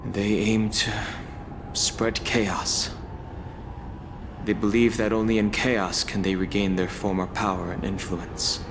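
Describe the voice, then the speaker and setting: A man's voice: on the low side, level tone, takes it slowly, background noise. A male actor playing a grave, dutiful warrior officer in a video game, explaining things formally and seriously. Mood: grave, explanatory.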